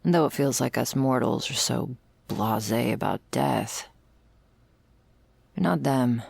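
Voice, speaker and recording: A woman playing a jaded, sardonic servant of vampires in an intimate ASMR roleplay, husky and deadpan, close to the microphone. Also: very deep voice, unhurried, no room sound, heavily acted. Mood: weary, explaining. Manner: dry, deadpan, sarcastic, foul-mouthed. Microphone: close, dry microphone.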